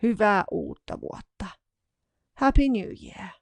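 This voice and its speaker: A woman narrates a scripted language lesson, saying each example word twice, slowly and deliberately. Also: mid-range voice, lively intonation, creaky, dry recording, clean studio sound. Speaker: language teacher.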